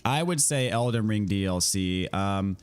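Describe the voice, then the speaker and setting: An American man with a fairly low voice, slightly raspy from a scratchy throat. He talks as a game streamer in a laid-back, friendly way, casually answering his viewers as he plays. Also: animated, a little slow, no room sound. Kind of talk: casual livestream chat answering viewers while gaming.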